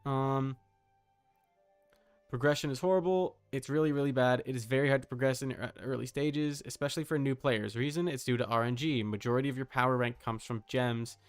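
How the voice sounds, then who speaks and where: A man's voice: mid-range voice, brisk, smooth, no room sound, faint music behind. A man chatting casually and swearing as he livestreams while setting up a game character. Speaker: streamer.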